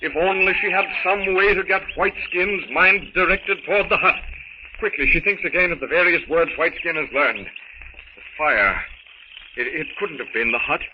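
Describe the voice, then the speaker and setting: A man's voice: a little high, moderate pace, lightly acted. A man narrating a jungle adventure serial in an earnest, dramatic 1930s radio style on a muffled, hissy recording. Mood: earnest, suspenseful.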